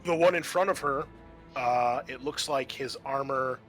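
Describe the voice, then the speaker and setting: A man with a bright, forward voice runs a tabletop roleplaying game. He narrates and explains rules in a casual, sweary way, with music playing underneath. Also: mid-range voice, unhurried. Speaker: game master.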